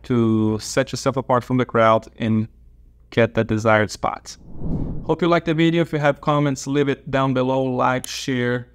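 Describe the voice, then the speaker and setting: A man's voice: medium pitch, normal speed, slight background noise. A man in his thirties from Brazil, a native Portuguese speaker, giving advice to camera as a dentist and educator, seated at a desk in a small office on a lapel mic, gesturing with open hands and repeating his main points.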